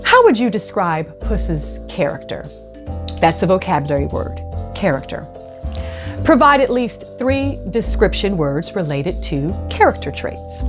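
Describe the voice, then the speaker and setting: A woman reading a children's storybook aloud with dramatic, expressive narration. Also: mid-range voice.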